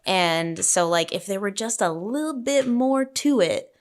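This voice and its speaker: A woman talking casually and thoughtfully as a panelist in a script discussion, halting as she works out her ideas. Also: mid-range voice, animated.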